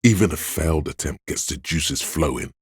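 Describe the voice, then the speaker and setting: A man voicing a video game's inner voice of addiction, a seductive, hedonistic tempter who coaxes and drawls in a deep, gravelly, husky voice. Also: animated, normal speed, dry recording, studio quality, exaggerated voice. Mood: grandiose, savoring. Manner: coaxing, insinuating, drawling. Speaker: video game voice actor. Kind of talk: theatrical second-person game narration.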